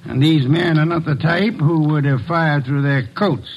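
An actor playing a shrewd, commanding detective boss in an old radio drama, speaking in a deliberate, pompous and erudite way. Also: male voice, a little high, husky, highs cut off. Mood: smug explaining.

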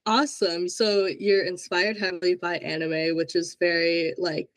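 A woman's voice: lowish voice, flat delivery, unhurried, dry recording. A woman hosting a podcast interview, upbeat and enthusiastic, praising her guest often. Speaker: podcast host. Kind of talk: casual interview chat.

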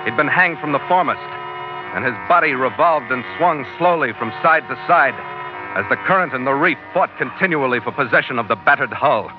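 A man's voice: medium pitch, animated, fairly quick, lightly acted. Clipped, hardboiled sea captain played by a man, old radio. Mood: grim narration. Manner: clipped, terse, hardboiled delivery. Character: brisk, tough sea captain and first-person narrator. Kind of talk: 1940s radio adventure acting, scripted log narration. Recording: dull vintage radio recording, loud music behind.

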